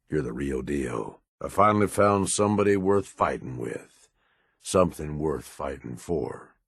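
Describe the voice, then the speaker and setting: An actor playing a jaded, foul-mouthed middle-aged raider sidekick in a video game, with a gravelly American voice and a gruff, blunt way of talking. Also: male voice, dry recording, studio quality, full character voice. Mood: sincere. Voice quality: husky, gravelly low voice. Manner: gruff, blunt, folksy tough talk. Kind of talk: video game companion dialogue.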